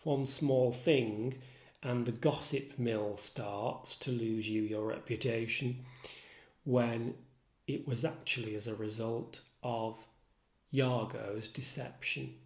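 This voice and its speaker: A man lecturing as a literature tutor, measured, articulate and instructive in his delivery. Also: mid-range voice, darkish tone. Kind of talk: literature lecture with recited quotations. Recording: roomy echo.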